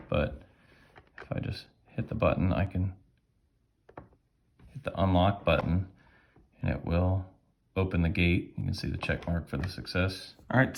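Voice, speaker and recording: A man's voice: deep voice, a little hiss or hum. A man giving a casual hands-on tutorial, thinking aloud in a tentative way as he demonstrates a device. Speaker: product reviewer demonstrating a device.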